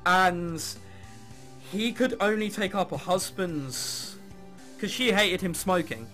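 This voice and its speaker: A man's voice: high voice, slow pace, pushed and bright, faint music behind. A man hosting a visual novel let's play, reading game text aloud and adding casual, wry, irreverent asides.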